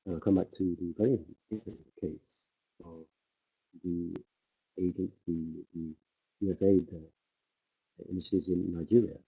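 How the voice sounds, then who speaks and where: A man interpreting a conference speech on the fly, halting often with fillers as he keeps up with the speaker. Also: low-pitched, steady tone, creaky, breathy edge. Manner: halting, many fillers and restarts while keeping pace with the speaker. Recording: tinny phone-like livestream audio.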